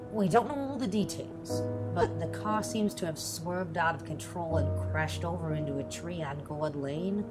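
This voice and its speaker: A man playing a solemn, apologetic school principal in an amateur radio play, speaking in a halting, formal way. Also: a little high, over loud music, lightly acted. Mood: grave, sorry. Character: solemn, apologetic school principal, an adult breaking bad news.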